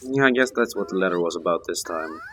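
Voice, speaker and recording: Low-voiced man, casual co-op gamer. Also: lively intonation, fast, a bit bright. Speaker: co-op partner on a let's play. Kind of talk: casual, brief reactions.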